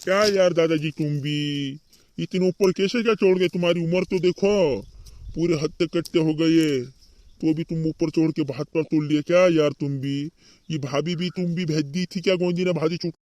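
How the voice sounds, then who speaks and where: Man playing cartoon hospital doctor, slightly high voice, brisk, reassuring, mildly scolding, repetitive colloquial talk. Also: steady tone, a little mellow, no room sound, lightly acted. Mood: chiding, exasperated. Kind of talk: amateur regional comedy cartoon dubbing.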